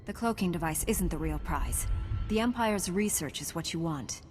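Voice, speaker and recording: A woman's voice: fairly low pitch, expressive, moderate pace, darkish tone, natural voice. A woman playing a composed, dutiful and earnest young Jedi knight in a video game. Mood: firm. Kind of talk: scripted video game cutscene acting. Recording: long hall reverb, faint music.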